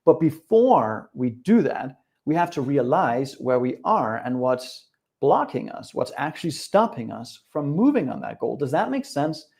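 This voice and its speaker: A man's voice: mid-range voice, very animated, measured pace, vocal fry, darkish tone. A middle-aged man from Denmark with a Danish accent, giving an upbeat, direct live webinar talk that addresses his viewers and their chat. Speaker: coach.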